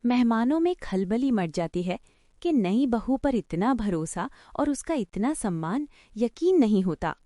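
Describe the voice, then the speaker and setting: A woman narrates a scripted story expressively. Her fairly high, mellow voice moves widely in pitch. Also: very animated, measured pace, dry recording, studio quality.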